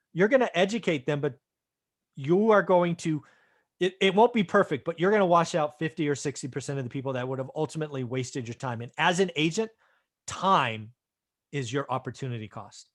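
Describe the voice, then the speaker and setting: A man, a real estate investor and host, giving conversational business commentary in an explanatory, affirming way, often checking in with a short question. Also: fairly high pitch.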